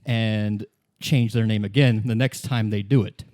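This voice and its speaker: A man's voice: fairly low pitch, steady tone, unhurried, a little mellow, no room sound, clean studio sound. A man acting as game master, narrating a tabletop roleplaying game for his player.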